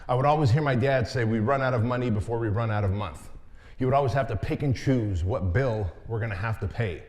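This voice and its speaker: A man's voice: medium pitch, brisk, a little mellow. A man in his forties giving a motivational talk, telling his life story in a candid, conversational way. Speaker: motivational speaker. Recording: long hall reverb.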